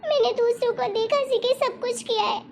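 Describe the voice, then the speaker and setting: A woman's voice: very high voice, fairly even intonation, moderate pace, gravelly, a loud crowd. A woman voicing a dramatic, insistent young wife in an amateur cartoon story, with a whiny manner. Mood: remorseful, pleading.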